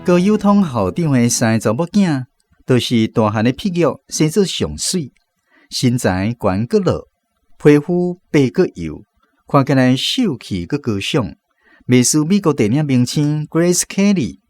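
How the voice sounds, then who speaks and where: A man's voice: medium pitch, sing-song, a little slow, darkish tone, no room sound. A man with a Taiwanese accent reading scripted literary narration as the narrator of a radio drama.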